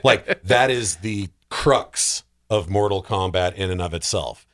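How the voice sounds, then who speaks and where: A man's voice: sits low, unhurried, a bit bright. A man in his forties chatting casually on a podcast panel in a self-deprecating, joking way. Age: 40s. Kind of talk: casual chat.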